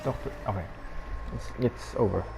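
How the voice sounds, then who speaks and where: A man's voice: fairly low pitch, normal speed, creaky, low-quality recording. A man giving casual, laid-back gameplay commentary in short, muttered reactions. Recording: game music behind the voice.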